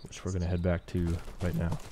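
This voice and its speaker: A man's voice: deep voice, monotone, a little fast, very noisy. A man commentating casually on his game livestream, chatty, slangy and a little hesitant.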